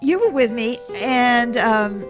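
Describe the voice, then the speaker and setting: A woman's voice: a little high, animated, slow. A woman in her seventies hosting a show, talking to viewers in a rambling, self-mocking way full of joking asides. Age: 70s. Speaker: host. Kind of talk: casual monologue to viewers. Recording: loud music playing behind.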